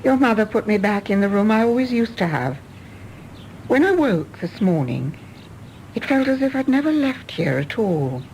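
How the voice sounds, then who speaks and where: A woman playing a composed, wistful and candid English widow in a radio drama, with an English accent and a measured, genteel manner. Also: medium pitch, sing-song, darkish tone, slight background noise, poor audio. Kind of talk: British stage-play radio drama acting.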